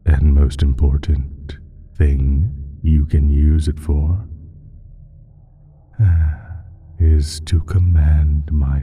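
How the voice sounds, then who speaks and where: A man playing an ancient, evil primordial creature in an audio roleplay, smug and menacing, speaking slowly in a breathy, husky voice that slips into whispers. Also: very deep voice, mellow, full character voice. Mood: teasing, smug. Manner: slow, deliberate, menacing, drawn-out phrasing. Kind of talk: dramatic audio roleplay monologue.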